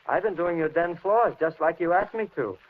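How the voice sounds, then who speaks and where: Man acting eerily calm, menacing handyman, vintage radio. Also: a little high, lightly acted. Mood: quietly taunting. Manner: slow, deliberate, softly threatening. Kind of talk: old-time radio thriller acting. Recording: muffled, hissy vintage radio recording.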